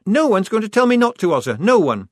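Brief: man voicing a young woman posing as a soldier, high, emphatic and easily flustered, storybook reading. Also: animated, a little fast, no room sound, studio quality. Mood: defiant.

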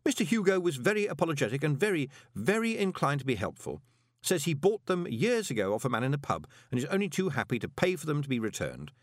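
A man's voice: on the high side, very animated, fast, dry recording, studio quality, voice pushed up. A man voicing a polite, confident young conman postmaster with a glib, persuasive manner in an audiobook. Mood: breezy, glib. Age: young adult.

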